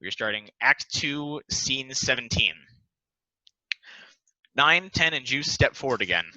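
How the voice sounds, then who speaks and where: A man narrates stage directions from a script. His voice sits at a middle pitch and is very bright and sharp, recorded close and dry. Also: expressive, measured pace, dry recording, low-quality recording.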